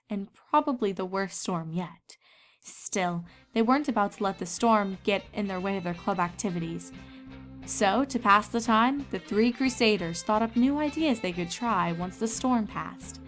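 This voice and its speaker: A woman reading a story as scripted narration. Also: medium pitch.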